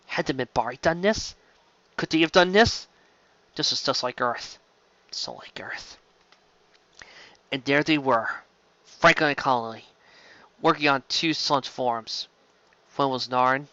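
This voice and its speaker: A man's voice: mid-range voice, pushed and bright, no room sound. A man reading science fiction fan fiction aloud as dramatic scripted narration. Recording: slightly dull, close dry mic.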